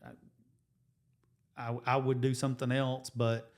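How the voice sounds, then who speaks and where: A man in his forties, a high school coach and teacher, co-hosting a casual podcast and talking things through at length with many restarts. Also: level tone, a little slow. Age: 40s. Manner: rambling, restarts, thinks out loud with numbers. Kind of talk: casual chat.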